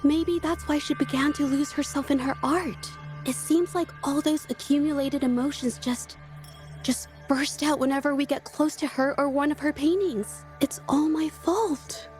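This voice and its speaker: A woman plays a gentle young female painter from a video game, her voice high and soft. She speaks haltingly and earnestly, with a quiet resolve underneath, over clearly audible music. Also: fairly even intonation, natural voice. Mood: worried, self-blaming. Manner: hesitant, soft-spoken, halting. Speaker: game character.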